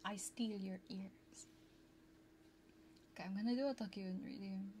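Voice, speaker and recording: A woman streaming ASMR, whispering and softly chatting to viewers in a soothing, playful way, close to a binaural microphone. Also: mid-range voice, fairly even intonation, a little slow, a little hiss or hum. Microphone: close binaural ear microphone.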